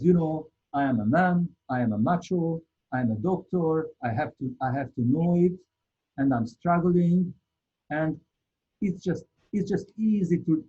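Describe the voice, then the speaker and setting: A man with a Hungarian accent presenting a live webinar, talking earnestly and haltingly with many repeated words. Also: mid-range voice, mellow, slightly rough, muffled recording.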